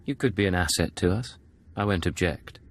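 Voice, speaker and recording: An actor playing a cool, terse secret agent in a video game, speaking in short, controlled lines. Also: male voice, low-pitched, fairly quick. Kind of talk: video game cutscene acting.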